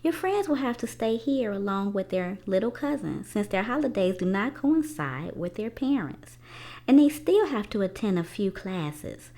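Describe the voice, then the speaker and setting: A woman voicing a kindly, reassuring father from a children's storybook in a measured, explanatory way. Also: mid-range voice, big pitch swings, mellow.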